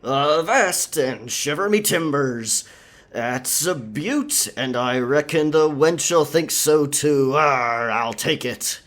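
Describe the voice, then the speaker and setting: Casting: overblown hearty pirate, stage pirate accent, pitched up, loud and clumsy, audio drama. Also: male voice, takes it slowly. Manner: exaggerated, loud, hammy pirate talk. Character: knight clumsily posing as a hearty male pirate. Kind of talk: scripted roleplay audio drama.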